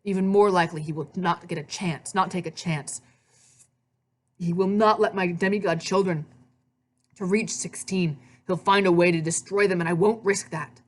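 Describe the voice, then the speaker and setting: A woman's voice: lowish voice, fairly even intonation, normal speed, natural voice. Brooding god of the dead, done by a lady narrator, agitated, pleading, storybook read. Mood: grim, insistent. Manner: urgent, pleading.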